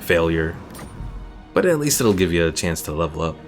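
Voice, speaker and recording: A man's voice: deep voice, sing-song, normal speed. A man giving casual live gameplay commentary, thinking aloud and chatting with his viewers as he plays. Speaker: gaming streamer. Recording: game music behind the voice. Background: video game music.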